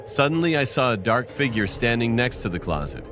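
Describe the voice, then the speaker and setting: A man's voice: mid-range voice, big pitch swings, a little fast. A man narrating a scripted scary story in the first person. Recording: music under the voice. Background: clearly audible music.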